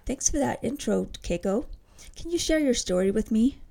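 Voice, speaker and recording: A woman hosting a podcast, reading a clear, measured introduction and welcoming her guest. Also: fairly high pitch, lively intonation, dark tone, a little hiss or hum. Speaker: podcast host and researcher.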